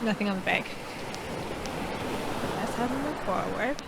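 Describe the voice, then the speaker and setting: A woman's voice: medium pitch, a little slow, gravelly, very noisy. A woman hosting a game playthrough, commenting casually and reading text aloud. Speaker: gaming video host.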